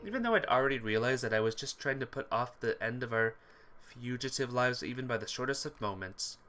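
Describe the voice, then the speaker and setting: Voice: mid-range voice, animated, a little fast, fairly bright tone, faint music behind. A streamer reading a visual novel aloud expressively, with casual asides to viewers. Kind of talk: game playthrough narration with chat asides.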